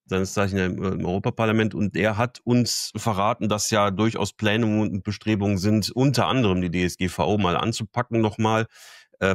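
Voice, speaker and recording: A man's voice: deep voice, no echo, studio quality. A man hosting an interview, asking long questions with frequent restarts. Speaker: podcast host.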